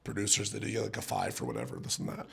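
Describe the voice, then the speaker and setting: A man from Ontario, Canada, a rapper guesting on a podcast, talking in a laid-back, joking way with a hoarse, worn-out voice. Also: lowish voice, big pitch swings, racing. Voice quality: gravelly. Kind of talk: casual podcast chat.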